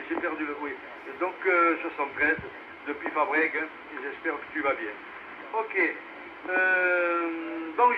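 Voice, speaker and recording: Male net controller, fairly high voice, casual delivery with thinking pauses, clearly noisy radio relay. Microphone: two-way radio transmission. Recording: muffled, noisy VHF amateur radio relay.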